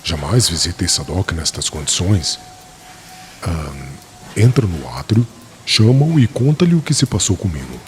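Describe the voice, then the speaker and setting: An actor playing a weary, dignified learned man, formal and measured, in a dramatized audiobook. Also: sits low, very animated, faint music behind. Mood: weary, grave.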